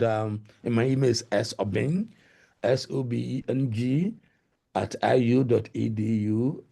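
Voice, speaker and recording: A man's voice: medium pitch, creaky, mellow, husky. A middle-aged man with a Ghanaian accent, answering webinar questions over a video call, hesitant and full of fillers. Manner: hesitant, many fillers and restarts. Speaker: guest lecturer.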